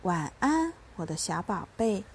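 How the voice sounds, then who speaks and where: A woman's voice: fairly high pitch, animated, moderate pace. A woman voicing a young mother in a children's storybook, tender with her child and fiercely protective, by turns coaxing and commanding. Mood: tender, soothing.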